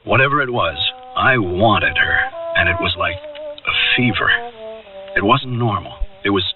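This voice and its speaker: A man's voice: on the low side, lively intonation, a little slow. An actor playing a self-absorbed, worldly young businessman in a radio drama, musing and correcting himself as he confesses to an old fling. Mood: musing, confessional. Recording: distant narrow-band radio broadcast, loud music.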